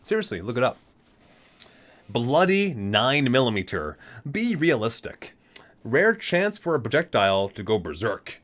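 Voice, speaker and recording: Male ASMR reader, medium pitch, lively intonation, expressive recitation with offhand asides. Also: measured pace. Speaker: video creator reading aloud from a game guide book. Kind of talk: ASMR reading with casual asides.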